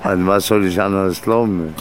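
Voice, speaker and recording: A man's voice: low voice, fairly even intonation, normal speed, faint music behind. A man chatting casually as an interviewed passer-by. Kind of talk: casual street chat.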